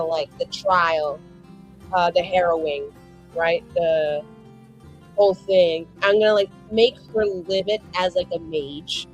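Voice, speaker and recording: A woman talking casually and haltingly as a player in a tabletop game. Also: fairly low pitch, poor audio. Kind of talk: casual improvised game chat.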